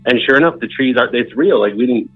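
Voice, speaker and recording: A man's voice: medium pitch, fast talker, breathy edge. A man in his thirties from Southern California, calling in to tell a story in a laid-back, rambling way. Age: 30s. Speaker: caller. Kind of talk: casual phone-in storytelling. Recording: muffled phone line with faint music.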